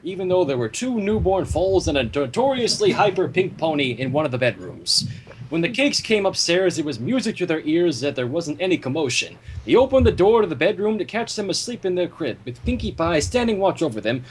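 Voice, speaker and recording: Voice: medium pitch, husky, low-quality recording. A theatrical, giggly host chatting with friends and reading fan fiction live, with a flair for dramatic announcements. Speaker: fanfiction reader and co-host. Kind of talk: casual group chat and live fanfic reading.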